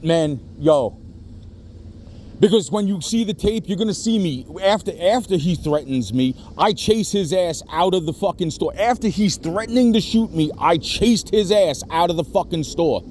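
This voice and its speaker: Agitated middle-aged man venting, fairly high voice. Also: lively intonation, normal speed, a little mellow. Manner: agitated, rambling, heavy swearing. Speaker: caller reporting to police. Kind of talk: venting on a phone call. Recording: noisy phone call, traffic sounds behind.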